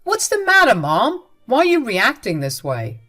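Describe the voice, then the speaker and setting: A woman's voice: mid-range voice, expressive, a little slow, a bit bright, soft background music. A woman voicing a bewildered young husband who pleads with raised questions. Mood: upset.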